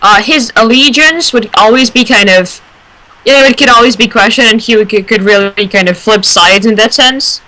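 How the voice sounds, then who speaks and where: A woman's voice: a little high, normal speed, pushed and bright, low-quality recording. A woman on a casual discussion panel, explaining her views in an earnest, analytical way.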